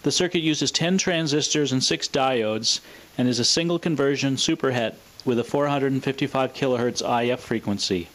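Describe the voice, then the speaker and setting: A man presenting scripted technical narration in a measured, matter-of-fact manner. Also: medium pitch, a bit bright, poor audio. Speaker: presenter of a vintage radio demonstration.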